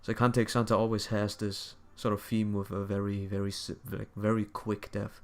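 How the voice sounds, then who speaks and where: Low-voiced young man rambling while gaming. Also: completely flat delivery. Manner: rambling, halting, repeats phrases. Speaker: game livestreamer. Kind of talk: casual chat while gaming.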